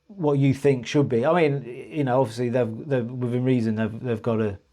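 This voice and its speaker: A man speaking to camera in a loose, rambling way, often stopping and restarting his sentences. His voice sits at a middling pitch with a dark, mellow tone. He sounds earnest and a little frustrated. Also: steady tone, normal speed. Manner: rambling, hesitant, full of restarts and "sort of, like". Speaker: vlogger. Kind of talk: personal storytelling to camera.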